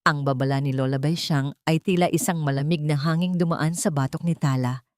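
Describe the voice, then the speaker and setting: A woman narrates a scripted love drama audiobook. Her pitch is low for a woman, and her delivery is dramatic storytelling. The recording is dry, with no room sound. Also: fairly even intonation, fairly quick, darkish tone, no echo.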